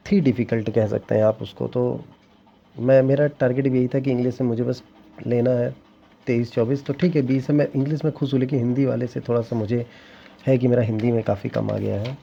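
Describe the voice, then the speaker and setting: A man's voice: mid-range voice, gravelly, dark tone, a little hiss or hum. A young man talking casually to his viewers, rambling with frequent restarts and sounding regretful. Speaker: teacher exam candidate sharing his scores. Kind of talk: casual vlog talk to viewers. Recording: dull lo-fi sound with faint ambience.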